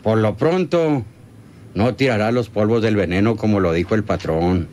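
Actor as a coaxing rustic bandit, noisy recording. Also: male voice, lowish voice, moderate pace, darkish tone, lightly acted. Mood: cold, scheming. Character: scheming rural male bandit henchman, persuasive and greedy. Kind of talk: radio serial acting. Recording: noisy old radio serial recording.

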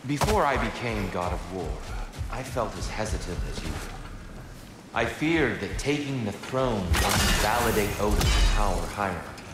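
A man's voice: lowish voice, animated, lightly acted. Man playing a wise god in echoing reverb. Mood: courteous, reflective. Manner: measured, formal, thoughtful. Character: calm, wise, courteous war god and counsellor. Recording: long echoing reverb under loud game music.

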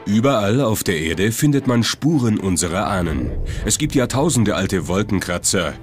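Male narrator, low register, scripted history documentary read with music clearly underneath. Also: very animated, normal speed.

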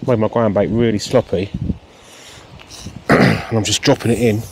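A man with a lowish voice talks half to himself as he fishes, dropping wry asides to the camera. He's recorded outdoors on a camera clipped beside him. Loud wind and outdoor rumble sit right on top of his words. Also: steady tone, moderate pace, gravelly. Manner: muttered, self-deprecating asides to the camera. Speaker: match angler and channel host. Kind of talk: casual running commentary while fishing. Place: outdoors at a lakeside fishery, breezy countryside. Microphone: action camera mounted beside him.

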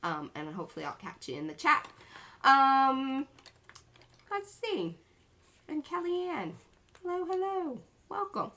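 Woman in her thirties, bubbly livestream host, animated sing-song voice, talking to a webcam in a home art studio. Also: high-pitched, measured pace, pauses often. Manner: bubbly and chatty, with playful made-up words. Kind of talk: casual livestream chat, greeting viewers by name. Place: home art studio, indoors, small cluttered room.

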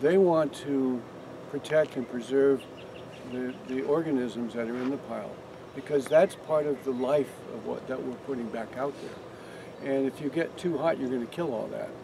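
A man's voice: mid-range voice, moderate pace, creaky, background noise. An older man giving a casual walking tour of his farm outdoors, talking to a group with many restarts and fillers. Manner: rambling, frequent restarts and fillers. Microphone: camera among a standing group.

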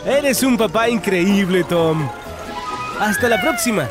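Male preschool cartoon narrator, high and very lively, encouraging and full of questions, over a music bed. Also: takes it slowly. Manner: encouraging, exclamatory, asks questions.

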